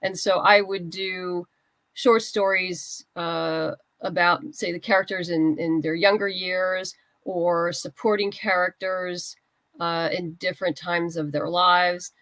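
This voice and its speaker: A woman with a lowish voice talks as a guest in an interview. She explains her writing in a conversational way. The sound is dry and free of room echo. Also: fairly even intonation, takes it slowly, a bit bright. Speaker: guest writer being interviewed. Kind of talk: conversational interview answers about own work.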